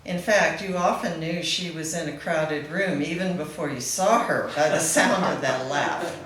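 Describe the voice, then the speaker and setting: A woman's voice: low voice, a bit bright. A woman reading a prepared eulogy aloud at a memorial celebration. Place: indoor event room with a live gathering. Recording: roomy echoing hall, faint background noise.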